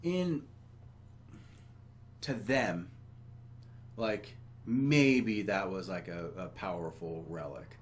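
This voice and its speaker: Low-voiced sardonic man, noisy recording. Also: slow pace. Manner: blunt, sardonic complaining, heavy swearing. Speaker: video game podcast host. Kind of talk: casual profane chat about games.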